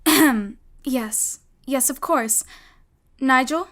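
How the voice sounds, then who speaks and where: A woman's voice: high-pitched, takes it slowly, fairly bright tone. An actress playing a watchful, clever young girl in an audio melodrama, polite on the surface and knowing underneath. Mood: falsely obedient. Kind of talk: melodramatic audio drama acting.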